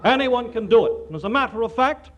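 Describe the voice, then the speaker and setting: Casting: theatrical radio huckster, extremely high male voice, gushing, vintage comedy announcer. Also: lively intonation, fast talker, a bit bright. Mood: gushing, salesy. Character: showy male radio pitchman plugging a contest.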